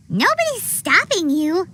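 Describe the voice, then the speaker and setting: A woman voicing a tiny, childlike, cheeky fairy companion in a video game, with a squeaky, exclamatory delivery. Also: bright, forceful tone, slight background noise, heavily acted. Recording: music playing behind the voice.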